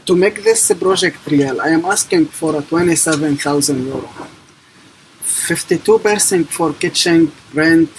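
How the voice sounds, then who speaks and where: A man from Syria, reading his business pitch carefully in broken English. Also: unhurried, a little hiss or hum, rough recording. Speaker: entrepreneur pitching. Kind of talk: pitch read from a script.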